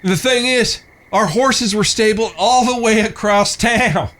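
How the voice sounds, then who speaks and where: A man's voice: sits high, unhurried, fairly bright tone. A man playing a cocky, chivalrous Old West gunslinger with folksy, courtly phrasing in a western audio drama. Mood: amused, storytelling.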